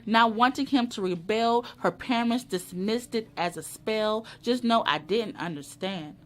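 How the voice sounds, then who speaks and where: A woman giving a dramatic reading of poetry in short, emphatic, measured phrases. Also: fairly high pitch. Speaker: poetry reader.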